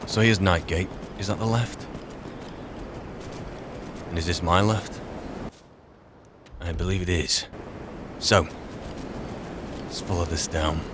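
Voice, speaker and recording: Low-voiced, musing man playing a wandering mage. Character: weary, grumbling male wandering mage adventurer. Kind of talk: in-character game roleplay narration. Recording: faint game ambience noise.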